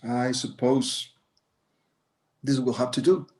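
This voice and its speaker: A man's voice: medium pitch, steady tone, slow, natural voice. A man playing a proud, courteous old Mexican-American rancher in a screenplay table read, speaking formally. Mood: polite. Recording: echoey room.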